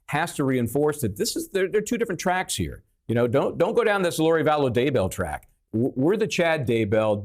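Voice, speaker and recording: A man hosts a live legal discussion with a mid-pitched voice. He builds up his questions in an emphatic, halting way, often doubling back on words. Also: a little mellow. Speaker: host of a legal discussion show. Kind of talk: live panel interview, posing questions to analysts.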